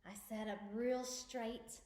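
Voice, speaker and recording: A woman reading a children's story aloud as expressive narration, deliberate and with dramatic pauses. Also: a little high. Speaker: storybook reader. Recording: echoey room.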